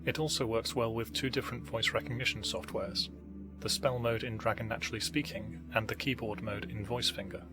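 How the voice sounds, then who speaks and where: A man giving scripted, measured instructional narration as he explains how a game is played. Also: on the low side. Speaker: narrator of a gaming tutorial.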